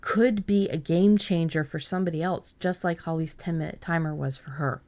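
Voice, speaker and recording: A woman's voice: sits low, fairly even intonation, moderate pace, darkish tone. A woman hosting a writing podcast, talking casually with frequent restarts and repeated words. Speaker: podcast host.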